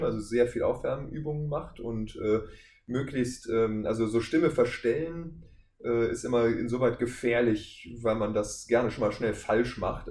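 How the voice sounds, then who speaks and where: A man's voice: mid-range voice, darkish tone. A man speaking as a podcast guest and voice actor, explaining his work in an informal, conversational way. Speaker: guest, voice actor and audio drama maker. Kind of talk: casual podcast interview. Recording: echoey room, faint background noise.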